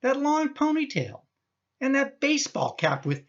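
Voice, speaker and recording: Man acting as exasperated, questioning son, swooping pitch. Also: big pitch swings, measured pace, muffled recording, exaggerated voice. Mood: disapproving. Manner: questioning, insistent. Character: exasperated, judgmental adult son of an elderly mother. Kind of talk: radio play acting.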